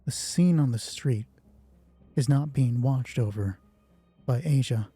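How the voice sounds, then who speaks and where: A man's voice: medium pitch, slow, dark tone, breathy edge, no echo, soft background music. A man narrating a solo tabletop roleplay as game master, informal and moving between dramatic storytelling and thinking aloud.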